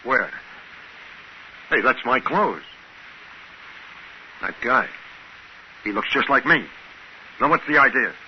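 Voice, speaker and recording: An American actor playing a bewildered, excitable male spaceship crewman in a 1950s radio drama. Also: mid-range voice, takes it slowly, pauses often, lo-fi sound. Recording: hissy band-limited 1950s radio recording.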